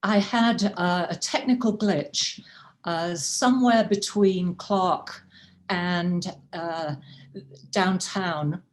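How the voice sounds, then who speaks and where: A woman with a Welsh accent and a fairly low voice talks her club through her background. She is chatty and self-deprecating, with plenty of uhs, heard over a video call. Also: very slow. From rural North Wales. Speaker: club member giving a talk. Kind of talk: personal presentation at a club meeting.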